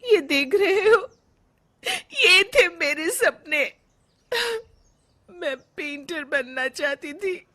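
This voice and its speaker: A woman's voice: extremely high pitch, pushed and bright, exaggerated voice. A woman playing a frustrated, reluctant young daughter-in-law in a cartoon story, with plaintive delivery. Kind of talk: animated moral story dialogue.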